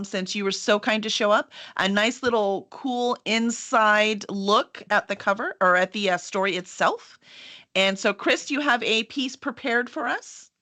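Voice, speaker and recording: A woman's voice: a little high, bright, forceful tone. A woman hosting an event in a casual, chatty way. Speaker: host, book publisher.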